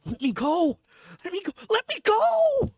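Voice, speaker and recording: A reader doing a frantic man locked in an asylum, pleading and sobbing his case in a raised, strained voice that leaps high and wide. He begs without pause in a dry, close storybook reading. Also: wildly swooping pitch, vocal fry, a little mellow, no echo, heavily acted. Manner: desperate, begging, voice cracking upward.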